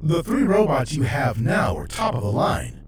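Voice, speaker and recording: A heavily echoing, distant robot voice, high-pitched and very melodic. It is an upbeat household drone from a children's audio drama, formal and courteous like a polished salesman. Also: huge pitch swings, moderate pace, slight background noise. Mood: earnest. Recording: strong echo, distant, music underneath.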